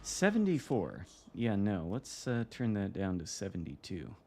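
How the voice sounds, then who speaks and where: A man from Michigan with an American accent, commenting casually while streaming a game from home, wry and relaxed. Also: fairly low pitch, a little slow, a little hiss or hum. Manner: relaxed, wry, reacting to the game with mild swearing. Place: home room.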